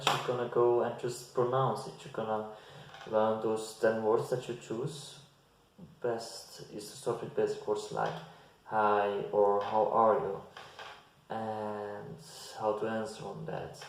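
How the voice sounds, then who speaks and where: Man, hesitant casual advice, low flat voice. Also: slight background noise. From Belgium. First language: Dutch. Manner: slow, hesitant, with frequent restarts and fillers. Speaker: language-learning vlogger. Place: bare indoor room. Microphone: fixed camera at desk distance. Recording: very echoey bare room.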